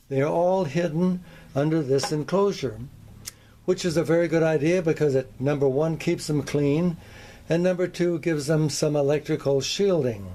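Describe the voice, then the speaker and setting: A man hosting a hobbyist demonstration, explaining things in a measured, methodical way. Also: a little high, warm tone. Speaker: host of a radio demonstration. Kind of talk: explanatory hobbyist product tour.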